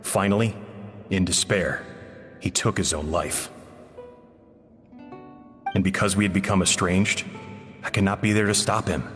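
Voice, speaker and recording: An actor playing a grieving, self-loathing man who gives a solemn, measured confession in a video game. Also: low voice, steady tone, a bit bright. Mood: sorrowful. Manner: measured, solemn, rueful storytelling. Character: grieving, self-loathing man reading out his sorrowful confession about a lost friend. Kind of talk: dramatic game narration monologue. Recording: long hall reverb, music underneath. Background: music.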